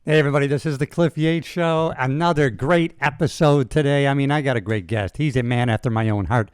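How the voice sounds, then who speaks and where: A man's voice: mid-range voice, a little fast, clean tone, no echo, studio quality. A man in his sixties hosting a podcast, chatty and enthusiastic, talking to his guest like a buddy. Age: 60s. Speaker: podcast host. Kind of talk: casual interview talk.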